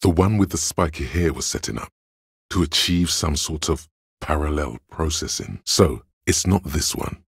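An actor playing the cool, dryly reasoning inner voice of a detective's logic in a video game, measured and deliberate. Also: male voice, dry recording, clean studio sound, heavily acted. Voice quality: very deep, gravelly, husky. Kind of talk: scripted video game voice-over, inner-voice narration.

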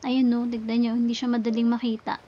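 A woman's voice: fairly high pitch, flat delivery, quick pace, warm tone, highs cut off. A woman with a Filipino accent giving casual gameplay commentary. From Philippines. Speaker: gameplay streamer. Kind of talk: casual gameplay commentary mixing Tagalog and English. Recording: clearly noisy, keyboard and game sounds. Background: game sounds, keyboard typing.

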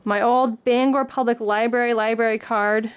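A woman's voice: fairly high pitch, steady tone, moderate pace, clean tone. A young woman getting over a cold, casually showing viewers what she carries in her bag. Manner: reads book excerpts with upbeat lift, then chats plainly. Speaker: craft vlogger. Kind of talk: casual show-and-tell. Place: indoor room against a plain backdrop.

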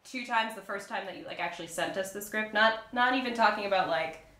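A woman's voice: mid-range voice, brisk, pushed and bright. A woman who acts, chatting informally and hesitantly at a casual roundtable discussion. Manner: informal, hedging, peppered with "like". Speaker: voice actress on a podcast panel. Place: room, group around a table. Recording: roomy raw recording.

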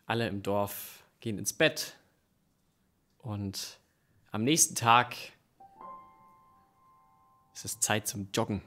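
A man's voice: fairly low pitch, slow pace, pauses often, pushed and bright. A young man narrating an improvised radio play on stage into a stand microphone. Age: young adult. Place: dark curtained theatre stage. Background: live background music.

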